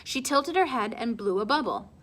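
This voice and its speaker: A woman reading a children's chapter book aloud in an expressive storytelling style. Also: on the high side, normal speed. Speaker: storybook read-aloud reader.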